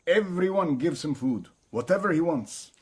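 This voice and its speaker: An actor playing a quiet, grave local guide, speaking terse, halting in a radio drama. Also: male voice, fairly high pitch, expressive, slightly rough. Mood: grave, reflective. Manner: terse, halting, simple sentences. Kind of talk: broadcast radio drama acting.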